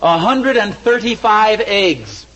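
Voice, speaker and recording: Scheming suburban dad, highish voice, swooping intonation, mock-earnest, old radio sitcom. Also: male voice, sing-song, slow pace, heavy background noise, heavily acted. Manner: chatty, confiding, mock-earnest. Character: scheming, mildly exasperated suburban husband and father. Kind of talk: old-time radio situation comedy acting.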